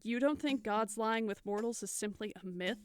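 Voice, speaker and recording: A woman playing a gentle, reassuring adventurer in a fantasy audio drama. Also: a little high, normal speed, clean tone, lightly acted. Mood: disbelieving.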